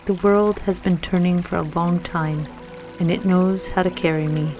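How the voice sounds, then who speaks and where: Gentle woman guiding meditation over music. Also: on the low side, fairly even intonation. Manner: gentle, soothing, unhurried phrasing with long pauses. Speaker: guided meditation host. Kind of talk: guided meditation affirmations, scripted. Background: music and trickling water.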